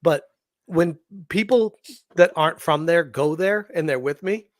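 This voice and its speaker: A man's voice: fairly high pitch, measured pace, no room sound, clean studio sound. A man from Massachusetts with a light Boston accent, a guest chatting casually and with amusement on a livestream. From Massachusetts South Shore.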